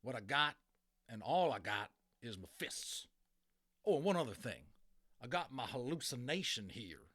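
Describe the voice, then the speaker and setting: A man's voice: medium pitch, very animated, moderate pace, bright, forceful tone. Man putting on a blustering, drawling little cowboy. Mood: blustering challenge. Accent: American Old West cowboy drawl. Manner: folksy, drawling, combative. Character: tiny grumbling American cowboy, blustering and complaining. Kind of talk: dramatic audiobook character reading.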